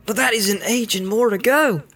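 A man's voice: sits high, normal speed, pushed and bright, slightly rough, faint music behind, voice pushed up, full character voice. A man voicing an anxious, plain-spoken, loyal servant in an audiobook. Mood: uneasy. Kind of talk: dramatic audiobook character reading.

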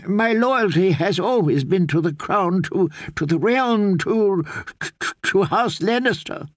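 A man's voice: sits high, slow, no echo, clean studio sound, voice pushed up, exaggerated voice. A man voicing a frightened elderly male court healer who stammers and pleads in an audiobook. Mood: fearful. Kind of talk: audiobook character voice.

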